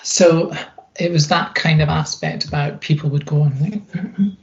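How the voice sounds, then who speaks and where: Gravelly, deep-voiced middle-aged woman. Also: unhurried, a little mellow, highs cut off, a little hiss or hum. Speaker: interview guest, activist. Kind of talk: reflective conversational interview.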